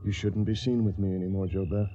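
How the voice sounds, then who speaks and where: An actor playing a guarded, gentle young Yankee stranger in a radio drama, speaking hesitantly in a hushed, creaky voice. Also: male voice, low voice, steady tone, quick pace, over music, close to an everyday voice. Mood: worried. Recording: muffled radio tape.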